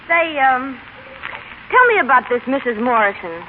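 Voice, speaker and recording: A woman's voice: sits high, expressive, normal speed. A woman playing a brassy, doting, jealous mother in an old American radio drama, blunt and folksy, with an American accent. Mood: prying, sardonic. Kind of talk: 1930s-1950s American radio drama acting. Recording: tinny, band-limited old radio broadcast, faint noise.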